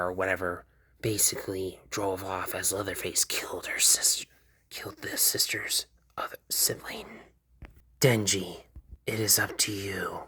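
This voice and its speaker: A man voicing a cold, commanding woman boss, speaking in a slow, hushed, breathy whisper for a fan-made cartoon. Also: on the low side, dry recording. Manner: slow, calm, ominous.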